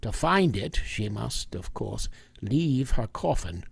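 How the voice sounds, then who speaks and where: Man acting a gravelly, deliberate vampire-hunting professor. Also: fairly low pitch, sing-song, dry recording, exaggerated voice. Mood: grave, instructive. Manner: deliberate, hesitant, lecturing. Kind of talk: vintage radio drama acting.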